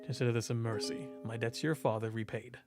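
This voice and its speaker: A man's voice: medium pitch, fairly even intonation, fast, vocal fry, music in the background, close to an everyday voice. A man voicing a stern, haughty, authoritarian duke in a visual novel. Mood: low, grudging. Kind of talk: visual novel character reading.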